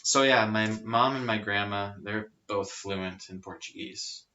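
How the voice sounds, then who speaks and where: Low-voiced man in bright casual chat. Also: measured pace. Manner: relaxed, explanatory, with frequent fillers. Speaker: podcast guest. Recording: rough lo-fi audio.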